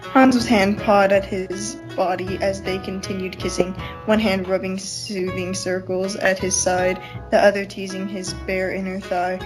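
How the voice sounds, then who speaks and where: A woman's voice: medium pitch, level tone, measured pace, poor audio. Woman reading fan fiction over guitar. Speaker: podcast reader. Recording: plucked guitar music behind. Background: plucked guitar music.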